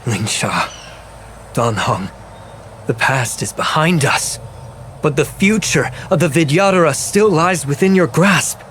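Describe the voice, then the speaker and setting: An actor playing a proud, scheming old elder of a dragon clan in a video game, speaking in a formal, measured, grandiose way. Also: male voice, fairly high pitch, lively intonation, a bit bright, slight background noise. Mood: impassioned, grave. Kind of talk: dramatic video game voice acting.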